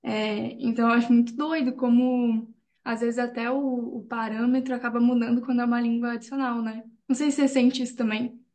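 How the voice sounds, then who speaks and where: A woman with a fairly high voice chimes in on a class video call. She talks casually and warmly to the lecturer and ends her points with quick check-ins. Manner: casual, animated, rising "né?" tags. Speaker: course participant. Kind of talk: conversational comment in online class.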